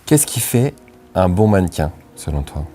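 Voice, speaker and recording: Un homme qui mène une interview et pose des questions courtes et amicales, sur un ton décontracté. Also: male voice, low voice, very animated, moderate pace, dark tone, faint music behind. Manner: friendly, short questions with fillers.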